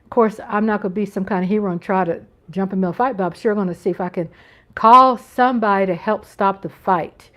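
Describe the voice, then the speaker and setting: A woman's voice: mid-range voice, quick pace. An American woman hosting a casual live-stream chat from home, talking in an earnest, rambling way with frequent restarts. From United States. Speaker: channel host.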